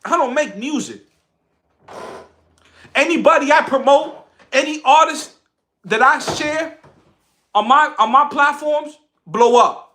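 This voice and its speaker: High-voiced man, bright and forceful. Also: takes it slowly, pauses often. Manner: emphatic, repeats phrases for effect. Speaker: social media content creator on a livestream. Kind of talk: impassioned livestream monologue to followers.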